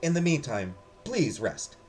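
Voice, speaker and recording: A man voicing a gentle, uneasy priest in a visual novel, formal and reassuring. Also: medium pitch, sing-song, a little slow, faint music behind.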